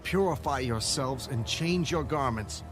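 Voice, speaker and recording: A man's voice: medium pitch, expressive, measured pace, close to an everyday voice. An actor playing a resolute male patriarch addressing his household in a dramatized audio Bible, earnest and declarative. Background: faint music.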